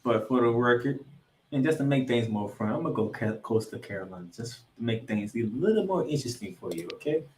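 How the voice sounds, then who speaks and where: A man co-hosting a sports podcast, previewing games and picks with drawn-out, emphatic announcer-style introductions. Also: mid-range voice, lively intonation, darkish tone, a little hiss or hum. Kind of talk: college football game previews and picks. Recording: roomy, echoey sound.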